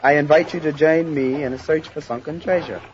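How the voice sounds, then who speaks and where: An actor playing a proud, friendly island diver with formal phrasing on a 1970s adventure record. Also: male voice, fairly high pitch, fairly even intonation, a little fast, natural voice. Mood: friendly, inviting. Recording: muffled old record with faint music.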